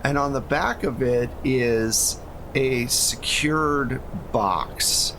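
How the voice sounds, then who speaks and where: A man's voice: drawn-out words, low-quality recording. A man running a tabletop game as game master, narrating casually and explaining things conversationally. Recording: steady low rumble and hum behind voice.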